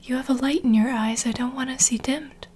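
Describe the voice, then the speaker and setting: A woman playing a nurturing, gossipy vampire bartender in an ASMR fantasy roleplay, speaking in a soft, breathy, confiding whisper. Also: high-pitched, fairly even intonation, fairly quick, close to an everyday voice. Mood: confiding, protective. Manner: intimate, confiding, gentle.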